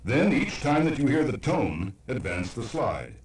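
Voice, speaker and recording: A man's voice: medium pitch, sing-song, measured pace. A man announcing in a scripted, instructional introduction to a recorded program. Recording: very echoey cassette playback from a distance.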